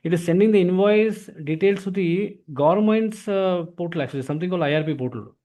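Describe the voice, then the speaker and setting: A man with an Indian accent explaining software as an instructor, often tagging phrases with "actually". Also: high-pitched, fast talker. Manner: repeatedly tags phrases with "actually". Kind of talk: explanatory software training lesson.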